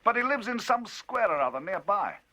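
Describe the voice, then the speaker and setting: Brief: a man voicing a worked-up gentleman narrator, lively swoops, forceful, husky. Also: high voice, brisk, voice pushed up, full character voice. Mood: animated. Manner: emphatic, rising, animated storytelling.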